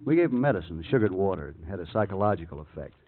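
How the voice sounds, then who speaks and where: An actor playing a weary, matter-of-fact clinic doctor in a 1950s radio crime drama, terse and unsentimental. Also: male voice, sits low, very animated, rapid-fire, breathy edge, full character voice. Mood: flat, fatalistic. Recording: old radio, phone-like band, faint noise.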